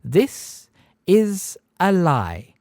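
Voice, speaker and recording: A man reading an audiobook voices a pompous, sweetly girlish witch teacher who talks slowly and with chilly precision. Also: high-pitched, wildly swooping pitch, a little mellow, dry recording, clean studio sound, voice pushed up, heavily acted. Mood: sugary stern. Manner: prim, sweetly girlish, slow and deliberate. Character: sugary, cold, pompous middle-aged witch teacher.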